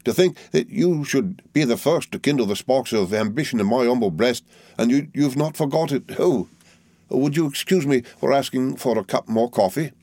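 A man's voice: mid-range voice, expressive, moderate pace, a little mellow, no echo, voice pushed up. A man reading aloud and voicing a fawning, falsely humble young male clerk with a wheedling, obsequious manner.

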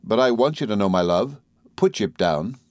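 A man voicing an earnest young husband in a classic novel reading, measured and formal, patient yet exasperated as he entreats. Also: fairly low pitch, animated, darkish tone, dry recording, studio quality, natural voice.

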